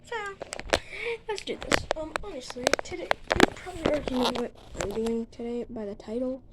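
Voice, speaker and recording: A woman's voice: a little high, expressive, brisk. A woman hosting a reaction video with casual, informal commentary and hesitant asides. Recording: clearly noisy background.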